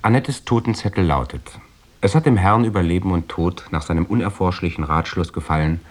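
A man reading a formal notice aloud in a solemn, deliberate manner. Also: sits low. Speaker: reader of a document. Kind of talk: formal recitation of a death notice.

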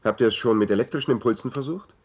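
A man's voice: mid-range voice, racing, a little mellow, slightly rough, natural voice. A man playing a smug, coolly obsessed scientist in a radio science-fiction drama, urbane and lecturing. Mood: brisk, matter-of-fact. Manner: urbane, self-satisfied, lecturing.

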